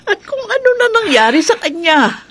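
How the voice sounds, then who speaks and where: A woman's voice: very high voice, big pitch swings, normal speed, fairly bright tone, exaggerated voice. An anxious, worried mother. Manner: anxious, asking fretful questions. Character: worried middle-aged mother of missing daughter.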